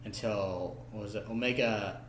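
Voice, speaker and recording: A man chatting casually on a podcast about cartoons and games, halting and peppering his talk with "like". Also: lowish voice, steady tone, fairly bright tone. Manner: halting, many "like" fillers. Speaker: podcast guest or co-host. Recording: roomy echo, noisy background.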